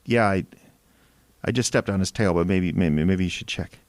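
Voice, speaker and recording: A man's voice: low-pitched, moderate pace, no room sound. A man playing a callous, domineering husband in an audio drama, with an irritable manner. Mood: subdued, uneasy. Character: menacing, abusive husband, gruff and callous. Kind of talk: naturalistic audio drama acting.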